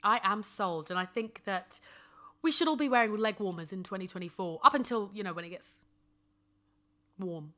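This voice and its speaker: A woman's voice: mid-range voice, moderate pace, pushed and bright. A woman in her twenties chatting casually as a solo podcast host, emphatic and sassy, often repeating phrases for comic effect. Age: 20s. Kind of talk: casual solo chat with humorous rants.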